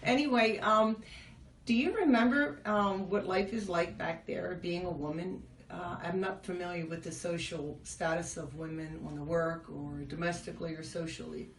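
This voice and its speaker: A woman hosts a casual interview, asking curious, chatty questions in a lowish voice. She sits a little away from the mic, in an echoey room with a faint hiss. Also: fairly even intonation, moderate pace. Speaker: host. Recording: echoey, from a distance, faint noise.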